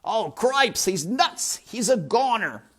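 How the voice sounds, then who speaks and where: A woman voicing a panicky young male mouse who shouts in alarm. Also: on the high side, sing-song, slow pace, breathy edge, pitched above the performer's own voice, full character voice. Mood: panicked. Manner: loud, frantic.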